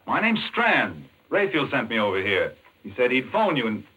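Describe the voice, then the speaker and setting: An actor playing an earnest, indignant doctor in a 1940s radio mystery, with urgent, clipped, demanding delivery. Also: male voice, mid-range voice, huge pitch swings, normal speed, pushed and bright, dull audio, a little hiss or hum. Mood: wary, polite. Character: earnest, indignant male doctor caught up in murder.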